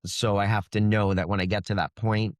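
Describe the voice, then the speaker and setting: A man with a fairly low voice talks through his creative process as an author being interviewed. The sound is dry and close, with very little room in it. His delivery is conversational and explanatory. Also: level tone, a little fast, dry recording.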